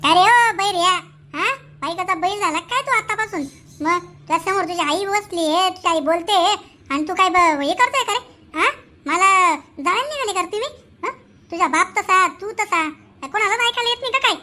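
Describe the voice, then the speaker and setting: A woman's voice: very high-pitched, normal speed, pushed and bright. A woman voicing an indignant, nagging middle-aged village mother in a comic cartoon, with a Khandeshi Marathi accent. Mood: indignant, scolding. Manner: nagging, exclamatory, rural colloquial.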